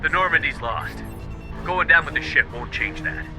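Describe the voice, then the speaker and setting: A man's voice: a little high, animated, moderate pace, over music, lightly acted. A man playing a commanding starship officer in a video game, urgent and insistent with a raised voice. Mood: urgent, firm. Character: commanding male starship officer under fire. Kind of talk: dramatic video game acting.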